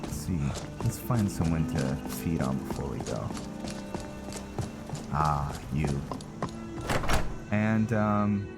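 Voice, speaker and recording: A man's voice: rumbling low, animated, slow pace, pauses often. A man doing casual, rambling game commentary, thinking aloud hesitantly and in fragments. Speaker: gaming host narrating a roleplay playthrough. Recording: loud game music behind the voice. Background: video game music and sounds.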